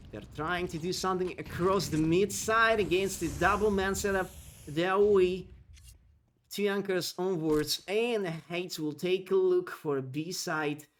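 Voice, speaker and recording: A man casting an esports match in non-native English, giving fast play-by-play that climbs during the action and chatting with viewers between rounds. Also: slight background noise. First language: not English. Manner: rapid, excitable calls that climb in pitch during action. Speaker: esports caster. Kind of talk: solo play-by-play commentary with chat asides.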